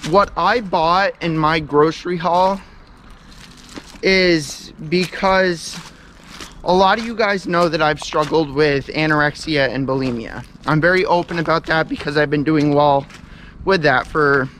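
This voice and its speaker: A man's voice: fairly high pitch, a little slow, fairly bright tone, a little hiss or hum. A young man, chatting casually and earnestly to the camera as a vlogger, rambling with lots of fillers. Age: young adult. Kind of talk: casual vlog talk to camera. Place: kitchen indoors, then outdoors on railroad tracks in woods.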